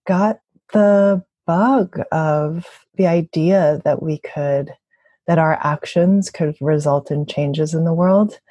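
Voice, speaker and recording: A woman speaks as an interview guest in a low, dark-toned voice with a husky edge. She is reflective and thoughtful, talking conversationally about her own experience. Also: no room sound. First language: bilingual, also speaks Mandarin. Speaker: interviewee, labor organizer and activist.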